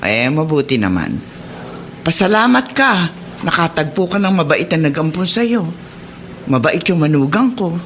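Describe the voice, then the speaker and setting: A woman's voice: low-pitched, very animated, normal speed, long pauses, slight background noise. A woman playing an elderly, plainspoken grandmother with a Filipino accent who questions and advises in a radio drama. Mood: reassuring. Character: plainspoken, kindly elderly grandmother, gently admonishing.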